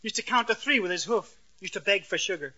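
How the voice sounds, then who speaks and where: A man's voice: high voice, lively intonation, fast, bright, forceful tone, heavily acted. An actor playing a shy, naive young man who stammers and gets flustered in a 1940s radio sitcom. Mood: eager, oblivious. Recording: dull, noisy vintage radio recording.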